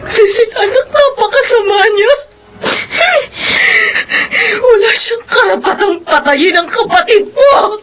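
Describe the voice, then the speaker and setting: A woman's voice: very high voice, takes it slowly, pauses often, a bit bright, raised voice, exaggerated voice. A woman with a Filipino accent playing a grieving mother demanding justice, tearful, pleading and wailing in a melodrama. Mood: tearful outrage. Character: grieving, anguished mother demanding justice for her murdered daughter. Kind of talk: melodramatic Filipino radio-style drama acting. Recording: clear background noise.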